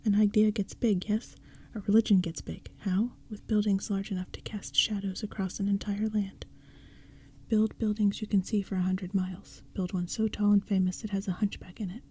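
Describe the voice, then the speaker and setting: A woman's voice: medium pitch, warm tone, breathy edge. A woman voicing a mysterious old man who coaxes a band of boys along, all in a whisper. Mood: coaxing. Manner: whispered, coaxing. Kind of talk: whispered storybook reading.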